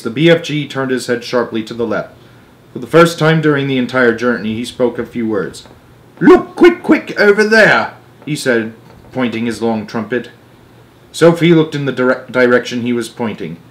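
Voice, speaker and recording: A man narrating a children's book aloud in an expressive way, with dramatic emphasis phrase by phrase. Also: medium pitch, normal speed. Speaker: storyteller.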